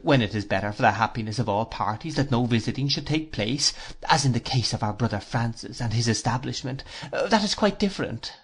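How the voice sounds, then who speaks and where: A narrator voicing a prim, dignified elderly spinster aunt who speaks in a formal, measured, pompous way. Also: medium pitch, expressive, husky. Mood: prim, stately.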